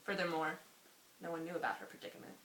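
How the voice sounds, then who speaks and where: A woman's voice: sits low, expressive, fast, fairly bright tone. A woman reading a story aloud informally, as an amateur, prone to giggly asides. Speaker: amateur reader. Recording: roomy echo, faint hiss.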